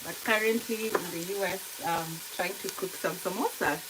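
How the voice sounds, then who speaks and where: A woman with a Kenyan accent giving a casual cooking demonstration to viewers, explaining step by step in a halting, unhurried way. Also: lowish voice. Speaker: home cook hosting a cooking tutorial. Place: kitchen, indoors. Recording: noisy kitchen, sizzling frying sounds. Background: frying sizzle, stirring, clicks.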